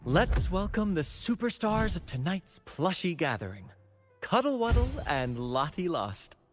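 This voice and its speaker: An actor playing a suave troupe performer and adventurer in a video game, with a theatrical, flowery and playful way of talking. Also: male voice, sits high, big pitch swings, measured pace, soft background music. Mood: showy, mischievous. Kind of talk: video game character acting.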